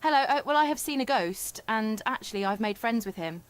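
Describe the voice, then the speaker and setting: Actress as a high-voiced, earnest British caller. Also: female voice, expressive, brisk, clean tone, dry recording, lightly acted, low-quality recording. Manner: sincere, chatty, unguarded. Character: earnest, friendly female radio phone-in caller. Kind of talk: radio phone-in drama.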